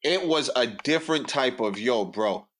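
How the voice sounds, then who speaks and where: A man's voice: medium pitch, expressive, normal speed. A young man, telling stories bluntly and building up jokes on a podcast. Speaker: podcast co-host. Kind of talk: casual storytelling chat.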